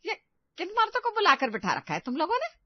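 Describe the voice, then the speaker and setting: A woman's voice: very high-pitched, wildly swooping pitch, fast, no echo, highs cut off, voice pushed up, full character voice. A woman voicing a stern, suspicious older mother-in-law who scolds in an indignant, accusing way for an audio story. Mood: outraged.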